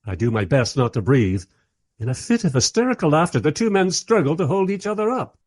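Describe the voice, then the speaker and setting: An actor playing a wry, curious time traveller who narrates a radio play in the first person and speaks in its dialogue. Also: male voice, on the high side, very animated, brisk, darkish tone, no room sound. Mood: wry, amused. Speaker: narrator and lead character.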